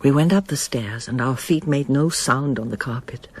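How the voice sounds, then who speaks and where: A woman's voice: very deep voice, normal speed, darkish tone, husky. A woman playing a reflective, hesitant older woman haunted by her past in a radio drama. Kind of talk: radio drama acting and first-person narration.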